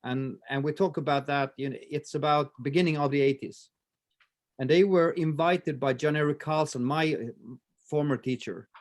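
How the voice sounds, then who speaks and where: A man in his fifties with a Swedish accent, talking in a casual interview with many pauses, fillers and restarts. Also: on the high side. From Sweden. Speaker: martial arts instructor, guest.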